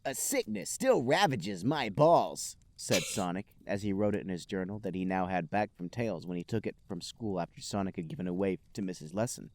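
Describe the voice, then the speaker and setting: A man's voice: low-pitched, steady tone, quick pace, hardly any pauses, a little mellow, a little hiss or hum. A man hosting a comedy podcast, reading fan fiction aloud with mock-dramatic storytelling and casual chat.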